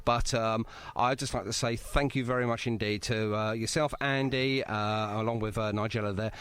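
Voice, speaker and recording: A man's voice: fairly low pitch, fairly even intonation, moderate pace, fairly bright tone, clear, resonant tone, dry recording. A man hosting a radio interview in a chatty, jokey, effusive way. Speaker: radio show host. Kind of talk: casual, bantering radio interview.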